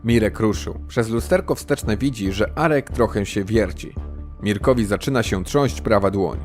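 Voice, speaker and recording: Low-voiced male narrator over music. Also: normal speed. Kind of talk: scripted audio drama narration.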